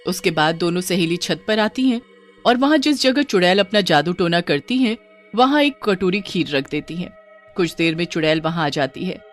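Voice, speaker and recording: A woman's voice: fairly low pitch, lively intonation, normal speed, faint music behind. A woman storyteller reading a scripted horror story in a dramatic narrating style.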